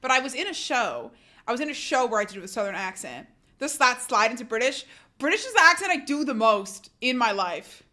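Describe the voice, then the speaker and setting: A woman's voice: a little high, big pitch swings, fairly quick, pushed and bright. A woman livestreamer chatting casually with her audience, chatty and self-deprecating, often laughing and reading chat aloud.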